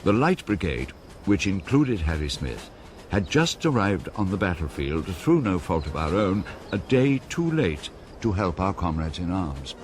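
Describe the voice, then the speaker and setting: A man playing a reflective army veteran narrating a radio drama in a measured, genteel way. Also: deep voice, big pitch swings, background noise. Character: reflective old-soldier narrator recalling Wellington's army.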